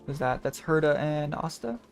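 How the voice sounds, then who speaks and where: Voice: mid-range voice, lively intonation, normal speed, vocal fry. A game streamer chatting casually and thinking aloud in a rambling way, with music behind. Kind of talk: casual gaming livestream chat.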